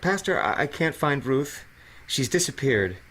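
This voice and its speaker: A man's voice: mid-range voice, big pitch swings, very noisy. An actor playing a desperate disgraced company boss, a man who halts and pleads, in an audio drama. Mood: anxious. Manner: halting, stammering, pleading.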